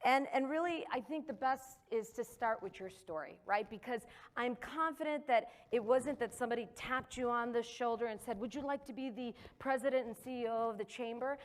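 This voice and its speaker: A woman's voice: on the high side, fairly quick, few pauses. A woman moderating a panel, introducing the guests with enthusiastic praise.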